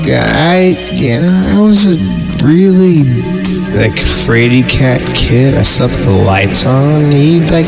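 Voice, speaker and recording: A man hosting a podcast, reading horror stories and chatting about them over background music. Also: mid-range voice. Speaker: podcast host and story reader. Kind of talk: horror story narration and casual commentary.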